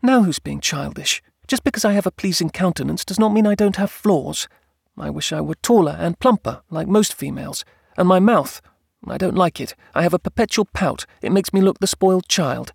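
Casting: male narrator as young noblewoman, raised pitch, sweet but stubborn, earnest audiobook dialogue, close dry sound. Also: expressive, moderate pace, vocal fry, breathy edge, no echo, exaggerated voice. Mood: indignant, earnest. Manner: earnest, gently insistent. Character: young countess Jane, sweet, spirited, stubborn wife.

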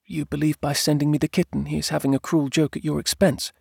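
A man voicing a sweet, stubborn young countess in an audiobook, earnest and gently insistent. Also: a little high, fairly quick, vocal fry, breathy edge, no echo, studio quality, pitched above the performer's own voice. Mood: calm, questioning. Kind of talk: audiobook character dialogue.

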